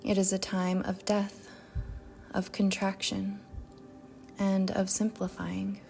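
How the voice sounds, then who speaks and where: Slow, mellow woman guiding meditation. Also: medium pitch, monotone, creaky. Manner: gentle, measured, soothing delivery with long pauses. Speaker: yoga nidra meditation guide. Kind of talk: guided meditation narration. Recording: soft music bed behind voice. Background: music.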